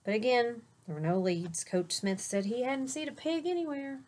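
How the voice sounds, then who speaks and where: A woman's voice: fairly high pitch, lively intonation, normal speed, a little mellow, slight background noise. A woman reading a children's picture book aloud like a teacher, with dramatic delivery and chatty asides to young listeners.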